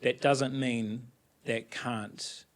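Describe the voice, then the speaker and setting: A man speaking as a podcast guest, earnest and measured, weaving Māori words into his speech. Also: mid-range voice, fairly even intonation. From New Zealand. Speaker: guest, climate activist. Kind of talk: podcast interview.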